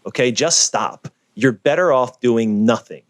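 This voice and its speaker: A man being interviewed over a video call, talking in an energetic, emphatic, storytelling way. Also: medium pitch, unhurried, no echo. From Philadelphia area. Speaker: guest, video producer.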